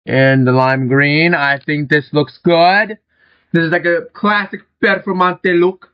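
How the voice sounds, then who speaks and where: A young man with a slightly high voice talks his way through a car-building game, loose and rambling, with casual swearing thrown in. The sound is dry and close, with a somewhat dull, muffled tone. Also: little pitch movement, a little slow, no room sound. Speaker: gaming channel host. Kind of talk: casual gameplay commentary.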